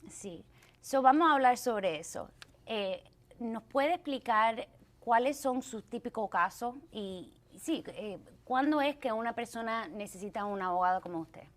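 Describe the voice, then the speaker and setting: A woman hosts a talk show with a voice a little higher than most. She asks her questions with some hesitation and sometimes stops to hunt for the right word. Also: animated, unhurried. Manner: hesitant, fumbles for words. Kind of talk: hosting an interview.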